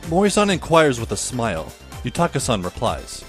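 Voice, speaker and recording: Man as a wry, animated schoolboy narrator. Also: mid-range voice, normal speed, faint music behind. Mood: wry, musing. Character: wry high school boy narrating his story. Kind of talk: first-person scripted narration, audio drama.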